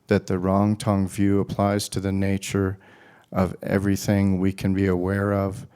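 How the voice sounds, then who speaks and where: A man asking his teacher a careful philosophical question, pausing with hesitant fillers. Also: low-pitched, completely flat delivery, mellow, clear, resonant tone.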